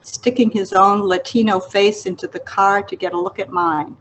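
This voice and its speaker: A woman author reading her literary memoir aloud in a measured, reflective manner. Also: medium pitch, steady tone, a little hiss or hum, low-quality recording. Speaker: author reading an excerpt of own book.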